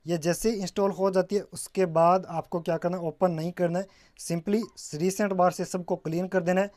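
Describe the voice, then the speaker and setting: A man's voice: sits high, steady tone, moderate pace, a little mellow. A man presenting a casual step-by-step tech tutorial, often addressing viewers as guys and mixing in English terms.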